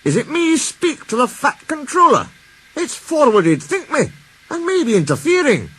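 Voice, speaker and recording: A man voicing an earnest, excitable Scottish steam engine from a children's storybook, blurting things out in dialect. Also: very high-pitched, measured pace, pitched above the performer's own voice, full character voice. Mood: hesitant.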